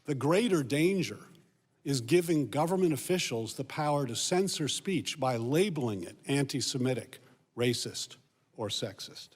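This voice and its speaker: A man's voice: medium pitch, animated, moderate pace, husky. A man giving formal prepared testimony as an expert witness in an echoey hearing room.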